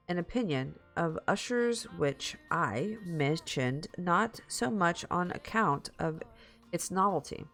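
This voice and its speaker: A woman streamer reading a story aloud in a dramatic, halting way, slipping into casual asides. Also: fairly low pitch, creaky, fairly bright tone. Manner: halting, repeats and corrects stumbled words.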